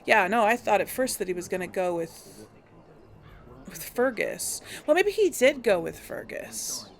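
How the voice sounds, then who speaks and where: A woman's voice: mid-range voice, big pitch swings, measured pace. A woman hosting a casual role-play game playthrough, thinking aloud in a rambling way. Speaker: let's play host.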